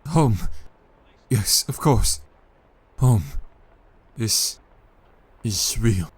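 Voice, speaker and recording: An actor plays a fragile, troubled young man in a Victorian gothic drama. His husky, breathy voice moves slowly and haltingly, as if haunted. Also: fairly low pitch, lively intonation, heavily acted. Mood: frightened, fragile. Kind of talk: gothic audio drama acting.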